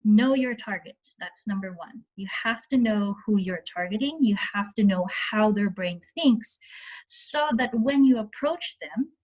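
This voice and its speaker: A woman speaking as a founder on an online panel, giving measured advice with deliberate, emphatic phrasing. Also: medium pitch, a little mellow, rough recording. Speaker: media software founder on a panel.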